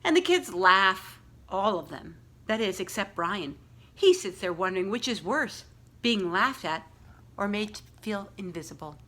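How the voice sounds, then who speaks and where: A woman with a mid-range voice reads a picture book to young children. She phrases deliberately and varies her emphasis to tell the story, speaking as a school librarian would. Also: mid-range voice, sing-song, pushed and bright. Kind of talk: expressive picture-book read-aloud with questions to young listeners.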